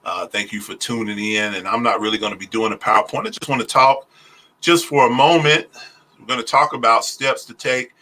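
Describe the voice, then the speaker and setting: Man, tax preparer on a livestream, bright tone, deliberate pace, frequent fillers. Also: medium pitch, steady tone. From Oklahoma, US. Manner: speaks deliberately slowly to avoid stuttering, many "uh" fillers. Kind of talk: casual livestream talk on taxes. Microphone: phone.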